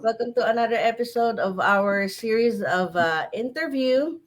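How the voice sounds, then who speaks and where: A woman with a Filipino accent hosting a live online interview, speaking haltingly with many pauses. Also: on the high side. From Philippines. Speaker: interview host.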